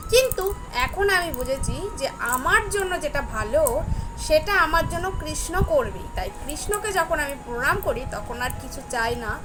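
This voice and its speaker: A woman's voice: high-pitched, lively intonation, a little slow, music in the background. A young woman speaks earnestly to viewers in a personal devotional talk, repeating phrases for emphasis. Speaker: devotional vlogger. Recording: clearly noisy, ambient background sound.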